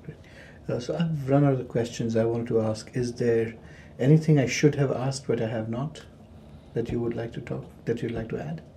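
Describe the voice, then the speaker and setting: A man interviewing a guest, asking questions in a measured, polite way. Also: mid-range voice, vocal fry, warm tone, slight background noise.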